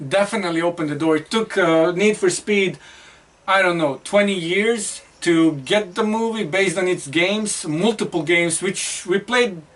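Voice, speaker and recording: A man's voice: high-pitched, normal speed, fairly bright tone, distant mic. A man co-hosting a casual movie review chat, rambling with lots of fillers and restarts. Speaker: co-host.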